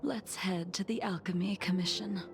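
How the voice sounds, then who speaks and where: An actress playing an aloof female sword master in a game, speaking in a slow, soft, breathy half-whisper. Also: lowish voice, fairly even intonation, natural voice. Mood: cold, reflective. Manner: slow, measured, detached delivery. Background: game music.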